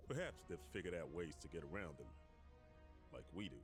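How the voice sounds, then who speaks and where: A man's voice: low voice, sing-song, a little fast, over music. A man playing a dazed, timid, dim henchman in a video game, hesitant and bewildered. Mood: puzzled.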